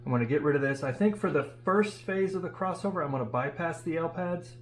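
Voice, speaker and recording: A man's voice: fairly high pitch, fast talker. A man talking casually and thinking aloud as he hosts a hands-on DIY workshop demonstration. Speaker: DIY audio channel host. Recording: steady background noise and hum.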